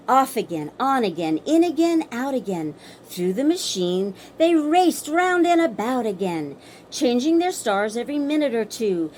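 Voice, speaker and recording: A woman storyteller performing a children's bedtime story in rhythmic verse, theatrical and expressive. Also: fairly high pitch, a little hiss or hum.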